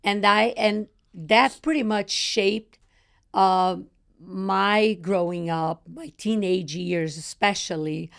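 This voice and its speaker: A middle-aged woman speaks in a Brazilian accent with a noticeable gravelly fry under her words. Her pitch sits in an ordinary middle range. She answers in a relaxed, conversational way, sometimes choosing her English words a little loosely. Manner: unhurried, slightly non-native phrasing. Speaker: chef, podcast guest. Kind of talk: casual conversation.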